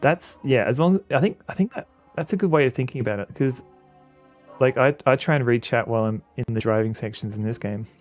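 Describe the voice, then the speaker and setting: Man, casual streaming chat, rambles and restarts, average pitch, soft music behind. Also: brisk. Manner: rambling, frequent restarts and fillers. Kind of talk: casual chat with viewers while playing a game.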